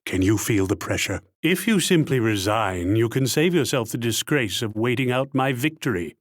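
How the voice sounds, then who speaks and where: An actor playing a haughty, shrewd Dutch military commander in a strategy game, pompous, theatrical and patronizing. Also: male voice, lowish voice, no room sound, clean studio sound. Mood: taunting confidence. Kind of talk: scripted strategy game character lines.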